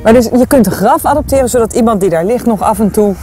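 A woman reporter with a high voice asks interview questions outdoors in open fields. Faint music runs under her voice. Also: quick pace. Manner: short prompts and backchannels.

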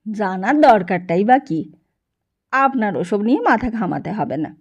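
A woman's voice: medium pitch, very animated, a little slow, slightly rough. A woman voicing a humble, soothing and mysterious male shopkeeper in a storybook reading, politely evasive. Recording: dull lo-fi narration recording.

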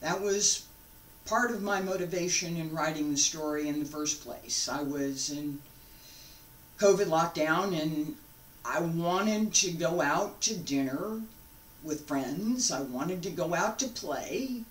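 A woman in her sixties giving an informal invitation to camera, speaking deliberately in short phrases with pauses, recorded at home on a distant microphone. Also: low-pitched. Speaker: founder of a radio drama show. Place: carpeted room at home, indoors. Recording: echoey room, distant microphone.

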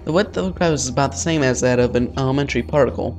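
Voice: mid-range voice, very fast, a little mellow, soft background music. A let's player reading visual novel text aloud in an informal way, stumbling over words and dropping in casual asides.

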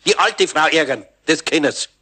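An actor playing an older, grumbly but kindly Bavarian carpenter in a children's radio play, folksy in manner. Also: male voice, on the high side, animated, normal speed, natural voice. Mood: indignant. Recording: faint hiss and clicks, vinyl record.

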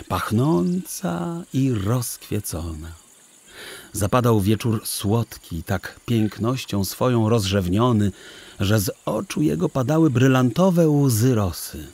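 A man narrating a children's audiobook in a literary, theatrical, deliberate storytelling manner. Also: lowish voice, a little mellow, breathy edge. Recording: music under the voice. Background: music.

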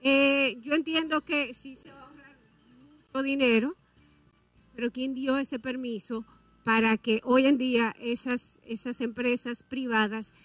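A woman's voice: high voice, steady tone, a little slow, no echo, faint music behind. A woman with a Dominican accent giving her opinion as co-host during a TV panel debate. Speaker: TV show co-host. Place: TV studio. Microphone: handheld microphone.

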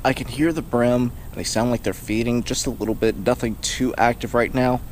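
A young man casually narrating his fishing trip from a kayak, chatting relaxed as he goes. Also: medium pitch, moderate pace. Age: young adult. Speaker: fishing vlogger. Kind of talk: casual outdoor vlog narration. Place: on a kayak outdoors on a river. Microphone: action camera mounted on the kayak. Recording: outdoor camera audio with water noise.

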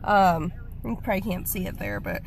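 A woman's voice: mid-range voice, normal speed, slight background noise. A young woman in her twenties with a stuffed-up, cold-sounding voice, rambling casually with plenty of fillers as she films herself at arm's length in her car. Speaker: fitness vlogger. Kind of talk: casual vlog chat. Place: parked car. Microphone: phone camera at arm's length.

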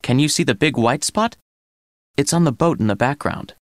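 A man's voice: medium pitch, animated, normal speed, dry recording, studio quality, lightly acted. A man playing an inquisitive, serious teenage boy in audiobook dialogue, questioning and intent. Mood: excited.

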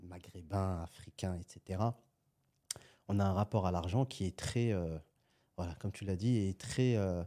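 A man's voice: low voice, moderate pace. A man, a guest entrepreneur on a podcast, talking casually and acting out little scenes as he tells stories. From France. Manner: animated storytelling, acts out little scenes.

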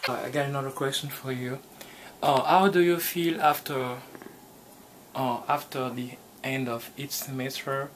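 Flat-toned Congolese man, noisy background. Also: mid-range voice, a little slow. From Democratic Republic of the Congo. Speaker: international college student. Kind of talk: informal talk and questions. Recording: clear background noise, insects chirping.

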